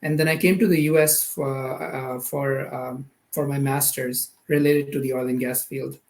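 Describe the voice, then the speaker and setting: A man in his thirties with a Pakistani accent, speaking as a guest in an interview. His pitch is middling. He talks casually and hesitantly, often doubling back to reword what he has begun. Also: fairly even intonation, normal speed, a little mellow. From Karachi, Pakistan. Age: 30s. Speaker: podcast guest, product manager.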